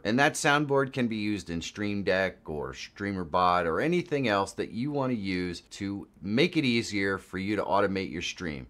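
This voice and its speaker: A man presenting a software walkthrough in an informal, chatty way, as a voice-over on a close mic. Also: lowish voice. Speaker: tutorial presenter.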